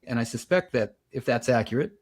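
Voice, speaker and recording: A man of about seventy hosting a podcast, telling a personal story in a reflective, measured way. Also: medium pitch, expressive, husky. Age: 70s. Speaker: podcast host. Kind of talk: casual podcast conversation.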